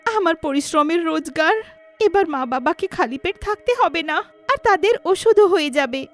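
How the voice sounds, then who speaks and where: A very high, girlish voice for a dutiful young daughter acted by a woman storyteller. She is earnest, plaintive and melodramatic, as in a moral tale, with faint music behind her. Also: animated, moderate pace. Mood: happy. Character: poor, dutiful, anxious young daughter looking for work.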